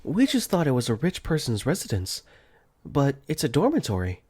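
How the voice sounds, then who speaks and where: A man's voice: mid-range voice, wildly swooping pitch, normal speed, a little mellow, breathy edge. A man playing a wry, easygoing teenage boy. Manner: wry, conversational, joking asides. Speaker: voice actor. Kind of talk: first-person visual novel narration and dialogue.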